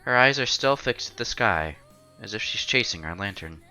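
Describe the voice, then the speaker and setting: A man's voice: on the low side, animated, normal speed, sharp tone, soft background music. A man narrating a visual novel aloud as a streamer, expressively and acting out the dialogue.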